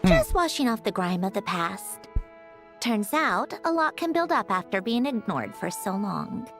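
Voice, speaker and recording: Proud, aloof young woman for a game. Dry, sardonic, expressive melody, mid pitch. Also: music in the background. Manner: dry, haughty, measured. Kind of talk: video game character acting.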